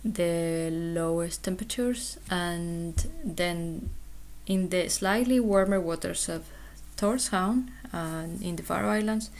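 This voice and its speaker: A woman research scientist giving an explanatory conference presentation, hesitant, with frequent pauses and ums. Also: on the low side, a little mellow.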